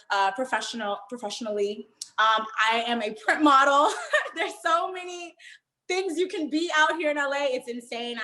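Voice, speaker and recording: High-voiced animated young woman. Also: roomy sound. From Haiti, raised in Miami. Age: young adult. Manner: animated, laughs often, emphatic. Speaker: guest, performer. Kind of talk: casual interview.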